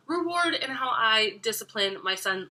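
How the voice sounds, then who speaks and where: A woman's voice: fairly high pitch, expressive, normal speed, low-quality recording. A young woman in her twenties, congested from a cold, chatting casually to camera about parenting, with many ums and restarts. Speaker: parenting vlogger.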